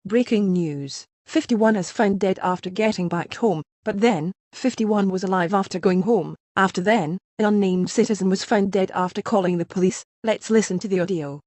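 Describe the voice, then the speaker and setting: A woman acts as a composed female TV news anchor. The voice is soft-edged and mellow at a middle pitch, recorded very dry, with a formal scripted delivery. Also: normal speed, hardly any pauses, dry recording, close to an everyday voice. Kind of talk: scripted breaking news read.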